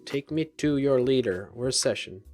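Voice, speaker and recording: A man with an ordinary mid-range voice talks casually through a live coding session. He muses out loud, trails off and restarts his sentences, and answers viewers as he goes. Soft music plays faintly underneath. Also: mid-range voice, expressive, normal speed. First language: English. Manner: casual, thinks aloud, frequent fillers and trailing restarts. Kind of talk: live coding stream chat, reading viewer comments aloud.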